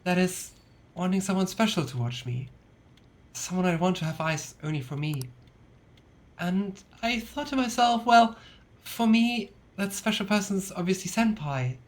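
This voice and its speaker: A woman's voice: lowish voice, measured pace, natural voice. A woman voicing a shy, earnest teenage girl from a video game, speaking politely and hesitantly. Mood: earnest, tender. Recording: music playing behind the voice.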